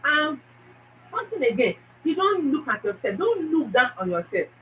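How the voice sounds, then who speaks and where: A Nigerian-accented woman talks straight to her viewers in a fast, emphatic way, often repeating herself. Her voice sits a little high. She sounds set back from the mic, with faint noise behind her. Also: very animated, a bit bright, far from the mic, rough recording. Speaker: YouTube channel host. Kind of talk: casual motivational talk to viewers.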